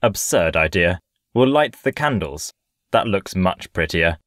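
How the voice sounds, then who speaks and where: A man's voice: deep voice, animated, measured pace, fairly bright tone, no room sound. A man playing a worldly, breezy young man-about-town in an audio play, with dry irony and playful bossiness. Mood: breezy, bossy. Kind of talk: audio stage play acting.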